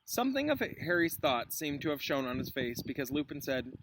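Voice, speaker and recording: A man reads a children's story as a teacher would, slipping in informal explanations. His pitch moves in a lively way. The recording was made outdoors and has noticeable noise. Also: normal speed. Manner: informal, explanatory asides to third graders. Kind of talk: storybook read-aloud for children. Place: outdoors in a chilly llama pasture. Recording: clearly noisy outdoor recording with clicks.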